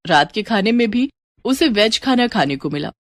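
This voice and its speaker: A woman's voice: medium pitch, lively intonation, normal speed, dry recording. A woman reading scripted narration as a storyteller.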